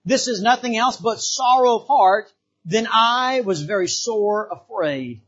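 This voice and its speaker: Fervent high-voiced preacher from the Bahamas. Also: male voice, animated, measured pace, a bit bright, husky, highs cut off. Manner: fervent, repetitive, calls of amen. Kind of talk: revival sermon. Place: church.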